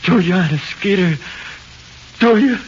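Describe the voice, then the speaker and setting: Scared American death-row convict, slangy, halting, pleading, very animated pitch, muffled hissy 1940s radio drama. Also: male voice. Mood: desperate. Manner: slangy, halting, swinging from flat confession to anxious pleading. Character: frightened condemned convict awaiting execution, pleading, uneducated tough. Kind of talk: 1940s radio horror acting.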